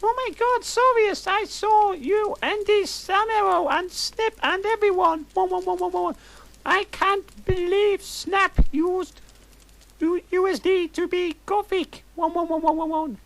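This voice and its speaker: A man voicing a melodramatic gothic teenage girl in a high falsetto, with a gushing, breathless delivery. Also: fairly even intonation, a little slow, vocal fry, a bit bright, full character voice. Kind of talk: comic dramatic reading.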